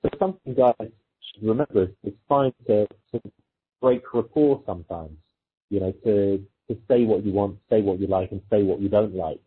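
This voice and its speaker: Tinny phone-quality audio, guy with a really husky voice doing casual coaching advice, explains with little examples. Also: on the low side, moderate pace. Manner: informal, explanatory, illustrates points with quoted exchanges. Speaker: dating coach. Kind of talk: conversational advice with acted-out example dialogue. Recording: muffled phone-like audio, thin and lo-fi.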